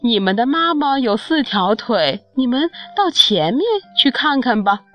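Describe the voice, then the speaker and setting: Good-natured fish mother for a children's tale woman performing, gentle, helpful, leisurely. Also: fairly high pitch, very animated, a little slow, dull audio, soft background music. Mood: friendly. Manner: gentle, explanatory. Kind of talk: children's storybook character voice.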